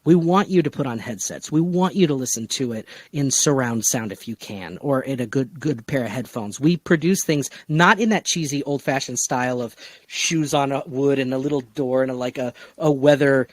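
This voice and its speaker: A man's voice: medium pitch, moderate pace, few pauses, no room sound. A man hosting a casual podcast discussion, speaking with an enthusiastic, emphatic manner. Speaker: podcast host and producer.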